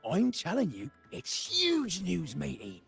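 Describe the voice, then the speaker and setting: An actor voicing a cocky, gossiping little pirate creature in a video game, with swaggering, teasing talk and a raspy voice. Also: mid-range voice, wildly swooping pitch, takes it slowly, a bit bright, soft background music, exaggerated voice. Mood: conspiratorial, boastful. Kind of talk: video game character acting.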